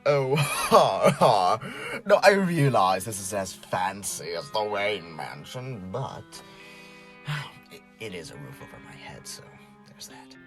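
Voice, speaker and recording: A man acting an easygoing, wisecracking, streetwise high school boy in a fan audio drama, stammering and sarcastic. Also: sing-song, takes it slowly, music in the background, heavily acted. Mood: sheepish, joking.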